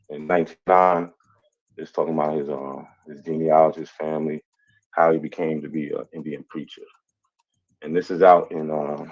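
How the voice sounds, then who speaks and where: A man talking casually through his family records, hesitant and pausing often on fillers. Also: a bass voice, muffled recording. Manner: hesitant, many filler pauses. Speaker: amateur genealogist. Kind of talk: casual explanatory talk.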